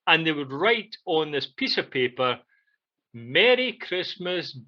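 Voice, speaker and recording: An older man with a Scottish accent casually reminiscing and telling stories in an animated way, repeating phrases for emphasis. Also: on the high side, slow. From Scotland. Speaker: podcast co-host. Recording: dull, muffled lo-fi audio.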